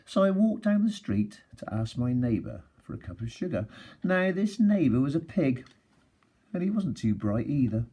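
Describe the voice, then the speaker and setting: A husky, mellow storybook wolf, acted out by a man reading aloud who pleads his own innocence. He is folksy and aggrieved, with pitch that swings in a lively, animated way. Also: moderate pace. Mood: self-justifying. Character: self-justifying, folksy wolf telling his side. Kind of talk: expressive children's storybook read-aloud.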